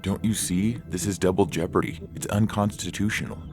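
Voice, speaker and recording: An indignant defendant, acted by a man, pleads and protests in a strained, shrill manner. The voice is pushed up above the performer's deep natural pitch, with music playing behind it in this audio story. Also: steady tone, a little fast, natural voice. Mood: indignant, pleading. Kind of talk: dramatic story reading.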